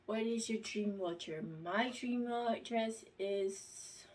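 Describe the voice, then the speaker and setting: A woman vlogger reads questions aloud and answers them in a casual, halting way, with plenty of pauses and fillers. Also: fairly high pitch, a little mellow, far from the mic, low-quality recording. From United States.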